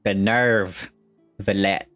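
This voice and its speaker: A woman's voice: extremely low pitch, fairly even intonation, takes it slowly, a bit bright, soft background music. A young adult woman streamer giving brief reactions to a game on a headset.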